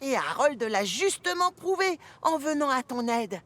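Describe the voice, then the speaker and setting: A woman voicing a kind, reassuring male steam engine in a French cartoon, gentle and encouraging. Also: sits high, big pitch swings, a little slow, dry recording, exaggerated voice. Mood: gently reassuring.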